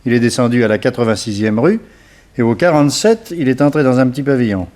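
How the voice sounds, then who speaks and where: Actor playing a detective's assistant, mid-pitched. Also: male voice, fast, darkish tone, close to an everyday voice. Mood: matter-of-fact. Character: detective's male assistant reporting briskly on a shadowing job. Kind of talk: 1960s French radio detective drama acting.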